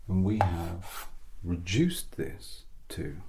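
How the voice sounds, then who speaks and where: A British man softly giving an ASMR English lesson as a teacher, breathy and often near a whisper, with an awkward, self-deprecating, gently encouraging manner. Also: sits low, extremely slow, background noise. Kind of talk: softly spoken ASMR grammar lesson roleplay.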